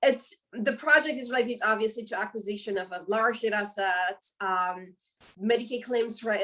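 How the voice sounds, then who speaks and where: A Romanian-accented woman in her forties speaks haltingly as a webinar guest, often pausing on ums and correcting herself mid-phrase. She sounds set back from the microphone, as if heard over a video call. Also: mid-range voice, fairly bright tone, far from the mic, low-quality recording. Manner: hesitant, many ums and restarts. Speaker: professor and guest. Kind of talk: webinar interview answer.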